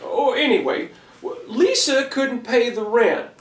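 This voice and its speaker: A chatty puppet-show storyteller acted by a man addresses children in an exaggerated singsong. The voice sits very high and swoops around, heard from a distance in an echoey room. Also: lively intonation, measured pace. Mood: eager storytelling. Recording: roomy, distant mic, faint noise.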